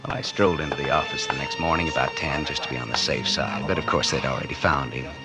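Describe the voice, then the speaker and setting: A man's voice: a bass voice, fast. An actor playing a cold-blooded, scheming businessman in 1940s radio noir style, wry, calculating and dramatic. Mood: cool, calculating. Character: scheming cold-blooded businessman narrating his crime, feigning innocence. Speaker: actor narrating and playing the lead. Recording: vintage 1940s radio recording with music.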